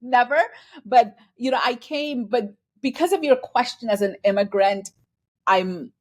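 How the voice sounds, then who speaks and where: A woman's voice: fairly high pitch, a little slow, darkish tone. A woman from Bangladesh, a guest in a conversational interview, speaking earnestly and reflectively and acting out quoted exchanges. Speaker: guest, finance and transformation executive.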